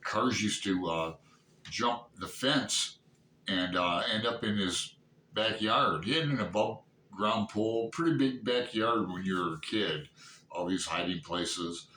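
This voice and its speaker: A middle-aged or older man with a Midwestern American accent, chatting casually to viewers in a halting, digressive, self-questioning way. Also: on the low side, sharp tone. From Toledo, Ohio, United States. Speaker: book vlogger hosting his channel. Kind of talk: casual rambling chat to viewers. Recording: echoey room, clear background noise.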